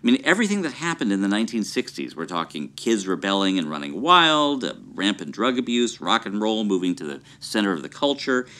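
A male host narrates history in an earnest, explanatory style. His voice is low yet lively in pitch. The delivery is scripted and clear. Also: brisk, hardly any pauses.

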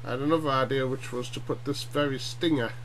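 Middle-aged male hobbyist, mid-pitched, talking through a demo haltingly and informally; homemade webcam sound with slight hum. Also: steady tone, fairly bright tone. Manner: halting, tag questions like "yeah?" and "eh?". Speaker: hobbyist radio demonstrator. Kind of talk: informal explainer vlog. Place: small cluttered room at home, indoors. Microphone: webcam at arm's length. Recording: noisy webcam audio with hum.

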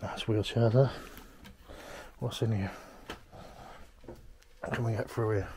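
A man's voice: lowish voice, fairly even intonation, slow, background noise. A man with a Stoke-on-Trent English accent, giving casual vlog commentary as an urban explorer. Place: inside a derelict abandoned building.

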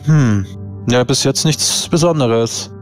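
A man's voice: medium pitch, slow pace. A man chatting casually and narrating his character as a tabletop game player. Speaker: tabletop role-playing player. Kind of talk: casual role-play chat. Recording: music playing behind the voice. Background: background music.

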